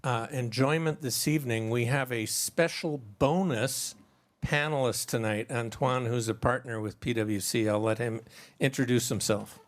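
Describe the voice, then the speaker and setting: A man's voice: medium pitch, normal speed. A man moderating a live panel discussion, introducing the format and the speakers.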